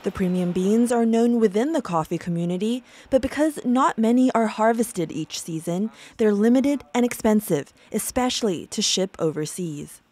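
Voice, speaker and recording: Mellow-voiced woman reading a news report. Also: medium pitch, expressive, normal speed, few pauses, slight background noise. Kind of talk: scripted news narration. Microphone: studio voice-over mic.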